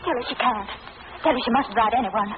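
Threatening young woman played by an actress: high, vehement, phone-thin vintage radio. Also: brisk, close to an everyday voice. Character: threatening, overwrought young doctor's daughter. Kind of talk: 1940s radio acting. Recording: tinny, very noisy old radio.

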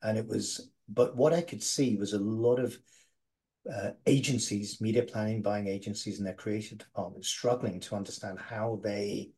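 A middle-aged man speaks as an interview guest about his company. His voice sits on the low side, and he talks in a measured way, filling pauses with "uh" and "um". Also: dark tone. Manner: measured, many filler "uh"s and "um"s. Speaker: guest, agency founder and CEO. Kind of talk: interview answers.

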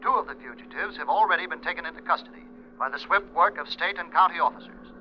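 An actor playing a brisk radio newscaster reading an urgent bulletin, heard through a car radio. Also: male voice, on the high side, lo-fi sound. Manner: crisp, urgent bulletin delivery. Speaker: radio news announcer. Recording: thin car-radio sound with faint noise.

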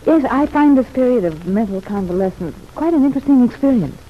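A woman's voice: fairly high pitch, animated, a little fast. An actress playing a cultivated, self-examining young woman convalescing in a sanitarium, speaking formal, articulate lines in an old radio soap opera. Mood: earnest, reflective. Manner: formal, articulate, hesitant phrasing. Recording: muffled, noisy old radio recording.

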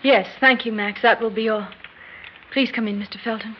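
An actress playing an earnest young woman, a rich man's daughter, pleading softly in an old radio drama. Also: a little high, steady tone, normal speed, slight background noise, close to an everyday voice. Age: young adult. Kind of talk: 1960s radio drama acting. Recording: dull lo-fi old radio recording.

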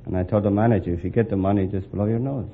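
A man's voice: fairly low pitch, steady tone, racing, gravelly. A man taking part as a quiz contestant, chatting casually and answering the host. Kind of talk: casual anecdote and answers in interview. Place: broadcast studio. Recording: tinny, noisy old radio broadcast.

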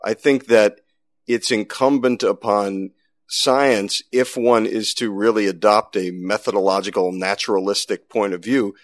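American guy podcast host, lowish voice, dry close sound, articulate and chatty interviewer. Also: steady tone, a little slow, no echo. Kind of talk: conversational interview and podcast presenting.

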